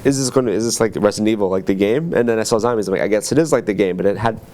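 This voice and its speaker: A man's voice: fairly low pitch, racing, dark tone. A man who is a bit sick, chatting casually as a podcast co-host. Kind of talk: casual chat.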